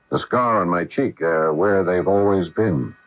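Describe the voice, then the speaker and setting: An actor playing a grave middle-aged astronaut husband in a 1980 radio drama, speaking deliberately and earnestly. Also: male voice, deep voice. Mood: earnest, explaining. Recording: muffled old AM radio broadcast.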